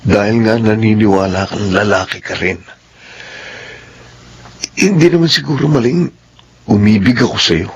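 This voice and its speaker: An actor with a Filipino accent playing an obsessed, pushy suitor, menacing yet tender, in a radio drama. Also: male voice, fairly low pitch, moderate pace, pauses often, husky, muffled recording. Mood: quietly obsessive.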